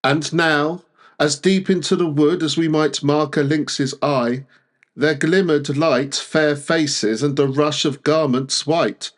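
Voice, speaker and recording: Slow, deliberate man reciting poetry. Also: a little high. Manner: measured, deliberate phrasing with frequent pauses. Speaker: poetry reader.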